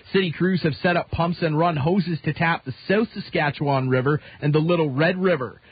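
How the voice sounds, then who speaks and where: A man's voice: high-pitched, breathy edge, no echo, muffled recording. A Canadian man reading a scripted newscast as a radio news anchor. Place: radio studio.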